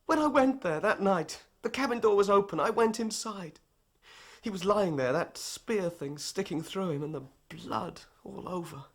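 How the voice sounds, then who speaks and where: An actor playing a distraught young man under suspicion in a radio drama, halting, anxious and pleading. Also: sits high, lively intonation. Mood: frantic.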